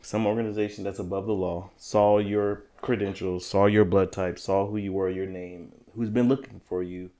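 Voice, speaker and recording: Young male co-host, low-leaning voice, playful and animated retelling. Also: normal speed, few pauses. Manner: animated, joking, retells plots in a rush. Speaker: podcast co-host. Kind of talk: casual chat about games and movies.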